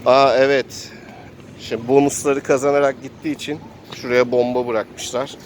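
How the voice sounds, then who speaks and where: A man's voice: mid-range voice, level tone, normal speed, slight background noise. A man improvising narration for a tabletop role-playing game, telling the action as if he were living it. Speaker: game master and player.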